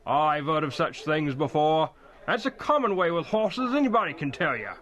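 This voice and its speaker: An actor playing a gossipy, grumbling working-class country carter with folksy speech in an audio drama. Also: male voice, on the high side, expressive, normal speed, a bit bright. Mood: gossipy, insistent. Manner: folksy, grumbling, dialect grammar. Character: gruff rustic male carter, gossipy and grumbling, older working man.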